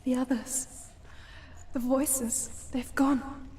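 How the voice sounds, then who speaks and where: An actress playing a tormented young warrior woman in a game, hushed, breathy and halting. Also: high-pitched, little pitch movement. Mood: frightened, lost. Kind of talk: dramatic game acting, intimate and halting. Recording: clear background ambience noise.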